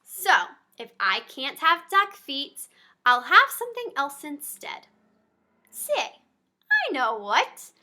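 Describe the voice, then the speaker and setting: Bright, lively woman reading to kids. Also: high-pitched, slow pace. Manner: animated, talks directly to young listeners. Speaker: pediatric therapist. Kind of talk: reading a picture book to children.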